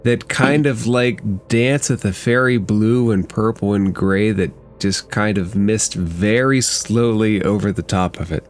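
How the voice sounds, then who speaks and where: A man's voice: fairly low pitch, measured pace, hardly any pauses, faint music behind. A man narrating a tabletop game casually as game master.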